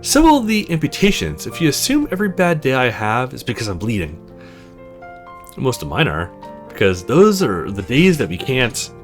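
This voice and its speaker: A man's voice: medium pitch, wildly swooping pitch, normal speed, faint music behind. A man voicing a prickly, exasperated young woman in a visual novel, blunt and sarcastic but loyal. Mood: dangerously irritated.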